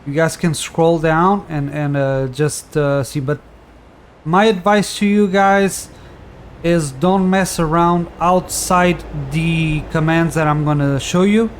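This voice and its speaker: A man's voice: a little high, slow pace, a little hiss or hum. A man whose first language is Portuguese, casually talking through a game tutorial with many fillers and restarts. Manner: relaxed, rambling, frequent fillers and restarts. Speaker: tutorial host.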